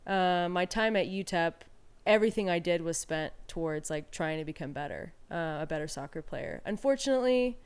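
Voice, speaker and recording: A woman's voice: on the low side, expressive, a little fast, few pauses, clear, resonant tone. A woman in her thirties, from Peru, speaking candidly and reflectively as an interview guest, with frequent fillers and restarts. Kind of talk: casual conversational interview.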